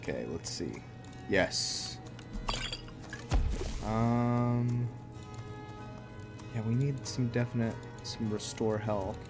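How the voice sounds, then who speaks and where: A man's voice: mid-range voice, very slow, pauses often. A man giving casual, hesitant gameplay commentary, thinking aloud as he goes. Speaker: gaming video host. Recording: background music under close commentary.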